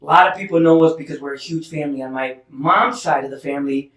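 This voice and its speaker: A man's voice: a little high, fast talker, a bit bright. A man from Michigan, a musician guest, telling stories in a casual interview, chatty and laughing often. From Holland, Michigan. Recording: roomy sound, distant mic.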